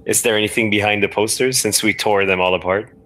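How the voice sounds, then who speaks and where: A man with a deep, low voice plays along in a story game. He speaks casually and a little haltingly, working out his moves aloud as a guest player. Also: steady tone, fairly bright tone. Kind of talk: casual improvised game play.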